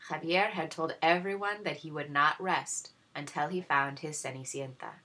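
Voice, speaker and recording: A woman reading a children's story aloud in expressive narration. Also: low voice, moderate pace, low-quality recording. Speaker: story reader.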